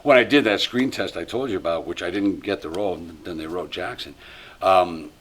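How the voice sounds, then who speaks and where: A man in his sixties, a former soap actor, chatting easily as an interview guest in a small studio, trailing into quiet asides. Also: low voice, fairly quick. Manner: easygoing storyteller, drops to murmurs and asides. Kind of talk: relaxed interview conversation. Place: small studio set with couch.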